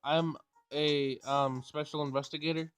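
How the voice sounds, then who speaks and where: A man hosting a gaming video, casually thinking out loud in a tentative way as he plays and reads. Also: on the high side, little pitch movement, a little slow, smooth. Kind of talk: casual let's play commentary and read-aloud game text.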